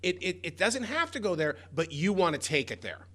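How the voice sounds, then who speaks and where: Bright-voiced male interviewer. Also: sits high, very animated. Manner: quick talking questions, agreeing "yep". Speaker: interviewer, host. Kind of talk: casual conversational interview.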